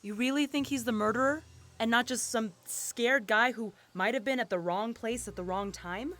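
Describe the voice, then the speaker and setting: A woman's voice: fairly high pitch, moderate pace, a bit bright, natural voice. A woman playing a cautious, doubting investigator in an audio drama, earnest and questioning in manner. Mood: doubtful, urgent. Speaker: voice actor.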